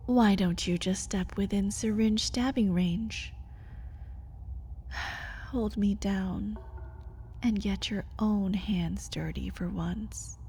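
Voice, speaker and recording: Caged vampire lover , played by a woman: unhurried, husky-breathy, intimate ASMR delivery, pleading with a bitter edge. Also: medium pitch, a little mellow. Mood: bitter, taunting. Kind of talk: ASMR roleplay.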